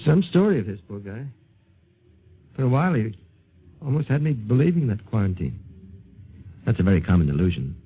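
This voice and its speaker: A man's voice: fairly low pitch, sing-song, brisk, pauses often, husky, phone-quality sound, heavily acted. An actor playing a practical, matter-of-fact male military doctor in a 1950s radio drama. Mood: musing. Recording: thin 1950s radio transcription.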